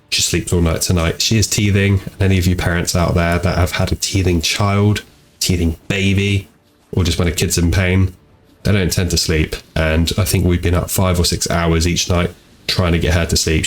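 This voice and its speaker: Male gaming host, deep low voice, congested with a cold, casual rambling delivery at a home mic. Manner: easygoing and rambling, repeats "go ahead". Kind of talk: casual commentary over gameplay. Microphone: home desk microphone.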